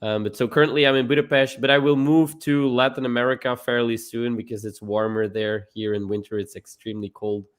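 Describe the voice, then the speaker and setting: A man's voice: mid-range voice, steady tone, fairly quick, hardly any pauses. A young man from Belgium, a guest on a live stream, talking in an earnest, casual way and often repeating words as he starts a sentence.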